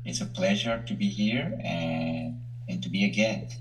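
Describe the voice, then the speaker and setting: Low-voiced Salvadoran professor. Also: male voice, animated, soft background music, low-quality recording. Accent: Salvadoran Spanish. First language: Spanish. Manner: measured, searches for words. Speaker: university professor, guest. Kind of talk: interview answers.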